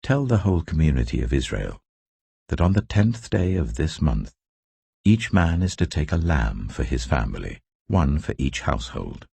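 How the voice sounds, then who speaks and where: Very deep gravelly man reading scripture. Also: dark tone, dry recording. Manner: solemn, deliberate, emphatic phrasing. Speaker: scripture narrator. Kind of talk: scripted audio Bible reading.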